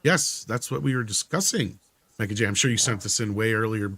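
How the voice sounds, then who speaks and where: A man's voice: lowish voice, fairly quick, a bit bright, dry recording. A man hosting a live stream, chatting informally and jokingly while reading out viewer comments. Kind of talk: casual panel chat.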